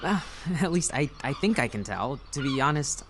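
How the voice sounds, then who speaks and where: A man's voice: medium pitch, animated, normal speed, fairly bright tone, a little hiss or hum. An actor playing a kind, self-deprecating young man in an audio drama, hesitant and rambling as he talks. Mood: sheepish, joking. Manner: rambling, hesitant, nervous chuckles. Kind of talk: audio drama dialogue acting.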